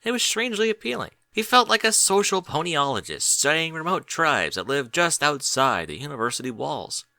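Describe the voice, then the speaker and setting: A man's voice: a little high, very animated, moderate pace, gravelly, few pauses, bright, forceful tone, no echo. A man reading a story aloud as scripted narration. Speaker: audiobook reader of fan fiction.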